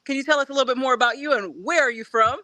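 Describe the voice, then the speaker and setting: A woman's voice: high-pitched, rapid-fire, sharp tone, no echo. A woman hosting a podcast, asking short questions and giving encouraging responses to her guest. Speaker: podcast host. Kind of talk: interviewing with short prompts.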